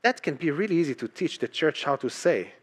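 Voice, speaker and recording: A man's voice: fairly high pitch, sing-song, fairly quick, breathy edge. A man who is a native Hebrew speaker, preaching as a pastor in a conversational sermon style, emphatic, repeating key phrases and posing rhetorical questions. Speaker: pastor preaching to his church.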